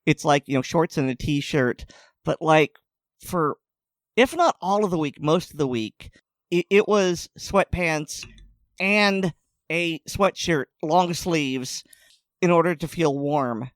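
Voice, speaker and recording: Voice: medium pitch, animated, takes it slowly, no room sound, studio quality. A podcast co-host chatting casually, rambling and restarting sentences, laughing often. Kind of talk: casual chat.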